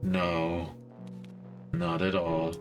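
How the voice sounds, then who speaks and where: A man's voice: sits low, completely flat delivery, drawn-out words, faint music behind. A man voicing a reclusive, formal hacker in a cyberpunk visual novel, speaking in terse, stiff phrases. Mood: reserved, matter-of-fact.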